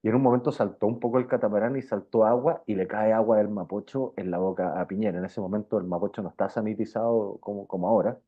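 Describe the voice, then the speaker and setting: Chilean guy w a low voice, slangy and rambly interview chat, sounds like a phone line. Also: steady tone, fast, hardly any pauses. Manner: informal, slangy, circling and repeating phrases. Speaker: interviewed journalist. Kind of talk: casual conversational interview. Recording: thin, phone-like band-limited sound.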